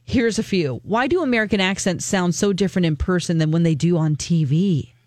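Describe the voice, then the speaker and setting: A woman's voice: on the low side, a little fast, darkish tone, dry recording. A woman from Missouri co-hosting a morning radio show, telling stories in a chatty way and laughing often. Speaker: radio co-host.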